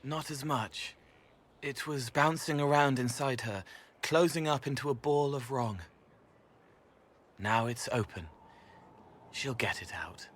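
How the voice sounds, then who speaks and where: An actor playing a gentle young male spirit in a video game, speaking in halting, dreamlike fragments of poetry. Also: mid-range voice. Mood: quiet, earnest. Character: gentle young male spirit who senses others' pain.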